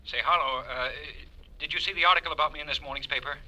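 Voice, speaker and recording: A man's voice: a little high, fairly even intonation, quick pace, pushed and bright, poor audio. An actor playing an anxious, ambitious businessman in his thirties, hesitant and urgent, in a 1940s radio drama. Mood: nervous pleading. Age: 30s. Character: anxious, ambitious young businessman in a blackmail jam.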